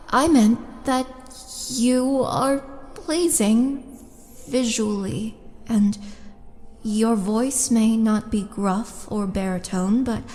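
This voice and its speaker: A woman playing a tall, lonely orc oracle in an intimate roleplay audio drama, speaking softly and coaxingly with breathy, whispered touches. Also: fairly high pitch. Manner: slow, coaxing, intimate, halting. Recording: long reverb, music behind.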